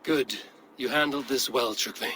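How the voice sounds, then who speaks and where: A resolute Viking clan leader, voiced by an actor, gives orders in an earnest, steady voice. The tone is bright and clear, at a middle pitch. Faint ambient noise runs behind him. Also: male voice, moderate pace, close to an everyday voice. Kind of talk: video game cutscene acting.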